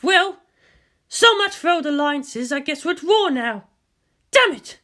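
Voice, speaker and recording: A woman's voice: high-pitched, expressive, a little slow, sharp tone, voice pushed up, full character voice. A woman voicing a fierce, defiant female military commander in a dramatic story, intense and emphatic. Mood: frustrated.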